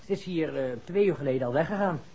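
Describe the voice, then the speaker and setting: Deferential manservant, highish husky voice, slightly anxious, hesitant, old radio-play delivery. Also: male voice, quick pace, dark tone. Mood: helpful, concerned. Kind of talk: 1960s Dutch radio drama acting. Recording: dull vintage radio recording.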